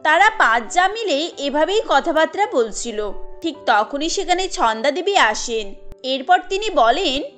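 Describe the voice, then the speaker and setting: A woman's voice: high voice, sing-song, a little slow, fairly bright tone, soft background music. A woman narrating a cartoon family tale in a scripted storybook style.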